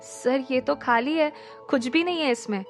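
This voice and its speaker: A woman's voice: high-pitched, unhurried, faint music behind. A woman voicing a polite young female office employee who speaks formally.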